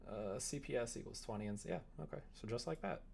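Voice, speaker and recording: A man's voice: on the low side, expressive, fast talker, creaky, darkish tone, a little hiss or hum. Casual young man thinking aloud on stream. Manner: self-deprecating, informal. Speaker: livestreamer coding a game. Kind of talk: casual livestream chat, thinking aloud while working. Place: indoors, small room at a computer.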